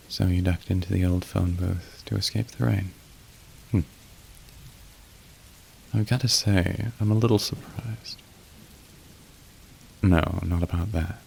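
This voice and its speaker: Man voicing a low, creaky, polite incubus. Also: darkish tone, low-quality recording. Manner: polite, wry, suggestive turning awkward and apologetic. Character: suave, awkward young male incubus working a phone line. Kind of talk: ASMR roleplay acting. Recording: rain noise behind the voice. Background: falling rain.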